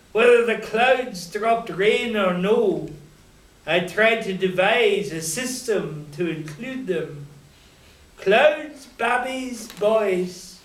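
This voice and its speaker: Scottish man, high voice, slow lilting poem delivery, recorded from far off in an echoey hall. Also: a bit bright. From Scotland. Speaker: co-author at a reading. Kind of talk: reading poetry aloud to an audience. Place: hall at a public reading. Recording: echoey hall, taken from a distance.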